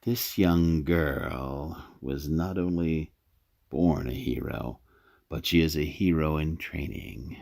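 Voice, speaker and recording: A man narrating a radio drama in a measured, storytelling manner. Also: very deep voice, vocal fry, a little mellow.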